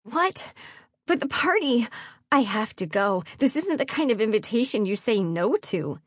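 A woman's voice: on the high side, sing-song, unhurried, dry recording, clean studio sound. A woman voicing a baron's distraught teenage daughter, emotional and protesting, in an audiobook. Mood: pleading protest.

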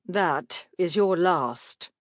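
Period gentleman father, acted by a female narrator: stern, cold, crisp and clipped, dismissive. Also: lowish voice, lively intonation, drawn-out words, no room sound, studio quality. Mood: disgusted, final.

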